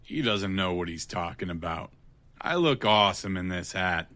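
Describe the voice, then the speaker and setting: A man's voice: low-pitched, expressive, moderate pace, pushed and bright, no echo. An actor playing a wry, unafraid tough guy in an audio drama, speaking in a dry, blunt, sardonic way. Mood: amused, sardonic. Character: wry, unafraid, plain-spoken male tough guy with a kind streak.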